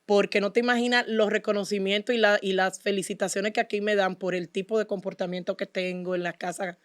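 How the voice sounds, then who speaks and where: A woman's voice: mid-range voice, fairly even intonation, fairly quick, no echo, clean studio sound. A woman with a Dominican Spanish accent, speaking as an interview guest and telling her personal story in an earnest, devout way. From Dominican Republic. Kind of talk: personal testimony in conversation.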